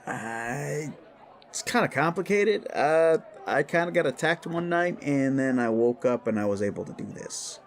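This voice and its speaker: Man acting a brash cocky werewolf bully, animated pitch. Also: unhurried. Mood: guarded, defensive. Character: brash, cocky young male werewolf bully boss, defensive. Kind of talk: scripted audio drama dialogue.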